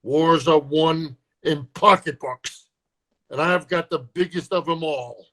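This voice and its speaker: Western table read: male actor as blustering Southern rail baron, slightly high voice, imperious and scornful speechmaker. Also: fairly even intonation, takes it slowly, bright, forceful tone, rough recording. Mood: angry, boastful. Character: domineering, blustering Southern railroad tycoon.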